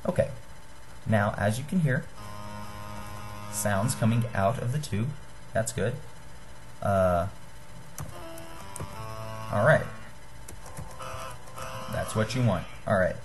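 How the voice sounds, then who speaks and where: A young man giving a casual how-to demonstration to a webcam in a small room, halting and apologetic. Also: lowish voice, music in the background. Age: young adult. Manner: halting, apologetic, self-deprecating. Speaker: hobbyist giving a homemade gadget tutorial. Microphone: webcam or computer microphone at desk distance.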